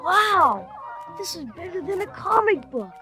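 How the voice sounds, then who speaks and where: Excited young boy for a storybook record: very high voice, eager. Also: unhurried, music in the background, full character voice. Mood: amazed. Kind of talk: storybook audio dramatization.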